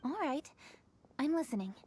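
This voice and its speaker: A woman's voice: high-pitched, sing-song, moderate pace, over loud music. A woman playing a poised, thoughtful young noblewoman in a video game, speaking in a composed, articulate and gracious way. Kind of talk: video game character acting.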